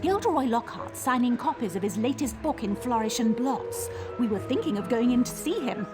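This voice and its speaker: A woman's voice: on the high side, lively intonation, a little fast, music in the background, close to an everyday voice. An English actress voicing a kindly middle-aged mother witch in a video game, gushing and motherly. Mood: friendly. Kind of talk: video game cutscene acting.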